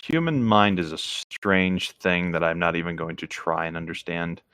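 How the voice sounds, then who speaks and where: A man's voice: deep voice, moderate pace, fairly bright tone, smooth, no room sound, clean studio sound. A man reading a story aloud as a co-host, casual, with reflective, easygoing asides. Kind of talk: casual story narration with commentary.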